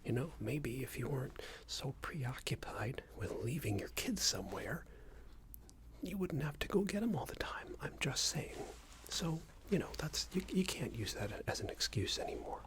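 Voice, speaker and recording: A man doing an ASMR roleplay as a passive-aggressive company boss, speaking in a soft, breathy whisper with hesitant, patronising phrasing. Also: medium pitch, lively intonation, moderate pace. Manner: hesitant, repetitive, patronising workplace talk. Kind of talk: ASMR roleplay, improvised monologue.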